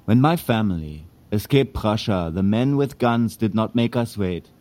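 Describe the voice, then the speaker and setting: A man's voice: on the low side, animated, normal speed, mellow, close to an everyday voice. An actor playing a weary, wry German immigrant tradesman with a German accent, dry and terse, in an audio drama. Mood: dry, unbothered. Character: weary, wry, stolid immigrant carriage repairman and drinker.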